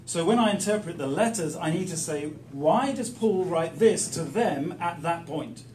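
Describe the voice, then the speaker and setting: A man preaching in short, emphatic, plain-spoken phrases, pausing for an interpreter. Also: fairly high pitch, expressive, a little mellow. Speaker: guest preacher teaching the Bible. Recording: echoey room, distant mic, faint noise.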